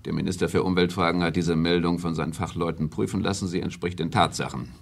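Authoritative prime minister, very low pitch, creaky voice, deliberate formal delivery, radio play. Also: male voice, fairly even intonation. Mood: measured. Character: stern, authoritative older prime minister, defending the economy. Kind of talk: German radio play acting.

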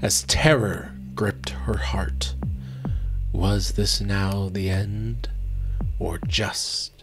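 Male storyteller, thirties, dramatic rhymed narration, unhurried, close mic, faint music behind. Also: on the low side, fairly even intonation. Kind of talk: dramatic fairy-tale narration in verse. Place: small book-lined room, indoors. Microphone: close desk microphone.

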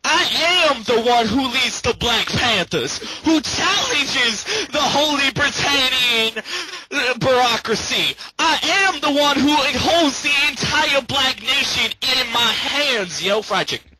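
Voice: high-pitched, expressive, slow, few pauses. An amateur fandubber voicing a brash, swaggering male street-gang rebel leader in slangy, profane, bombastic style on a home voice chat. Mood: grandiose. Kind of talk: amateur comedic fandub, improvised over a Skype call. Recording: clicky, noisy home voice-chat recording.

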